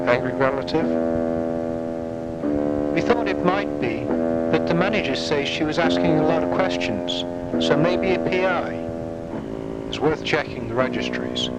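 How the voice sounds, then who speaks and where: A man acting a character in a dystopian sci-fi police drama for a motion comic, over a loud ambient rumble. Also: lightly acted. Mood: businesslike. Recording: very noisy, rumbling background.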